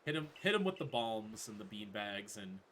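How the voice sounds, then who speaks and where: A man's voice: lowish voice, sing-song, moderate pace, fairly bright tone, a little hiss or hum. A man playing through a game speedrun while casually explaining his route live, with music behind him.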